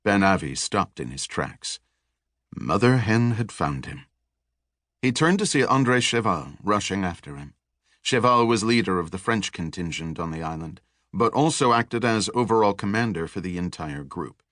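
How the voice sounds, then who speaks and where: A man reading scripted thriller narration in a measured, deliberate storytelling manner. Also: deep voice, fairly bright tone, no echo, studio quality. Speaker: audiobook narrator.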